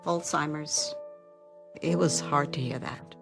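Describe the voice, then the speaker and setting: A woman plays a reflective mother in an ad testimonial. She speaks soberly in a low voice, with music prominent underneath. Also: fairly even intonation, slow, gravelly, full character voice. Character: mother facing memory loss, sober and reflective. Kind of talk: testimonial in a public service ad.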